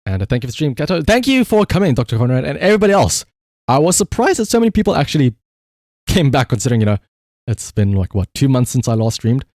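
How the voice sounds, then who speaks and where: A man's voice: medium pitch, big pitch swings, fast talker, darkish tone, no echo, studio quality. A man livestreamer chatting casually and rambling to his viewers, swearing freely with playful exasperation. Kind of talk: casual chat to stream viewers.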